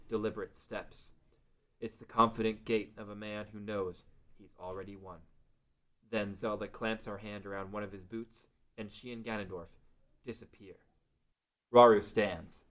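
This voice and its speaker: A man's voice: lowish voice, fairly bright tone. A man reading scripted dramatic fantasy narration as the narrator of a table read.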